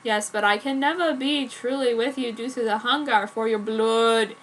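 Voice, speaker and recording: A woman's voice: on the high side, steady tone, normal speed, fairly bright tone, a little hiss or hum, close to an everyday voice. A woman voicing a sad, brooding, pious teenage boy vampire in a fan fiction reading, earnest in manner.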